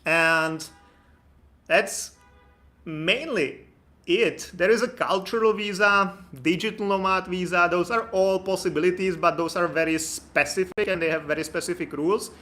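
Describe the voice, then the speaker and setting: A man's voice: high-pitched, moderate pace, bright, forceful tone. A man with a Czech accent, a Czech native speaker, talking casually on a live stream, chatty and joking with viewers. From Czech Republic. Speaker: immigration consultant hosting a live stream.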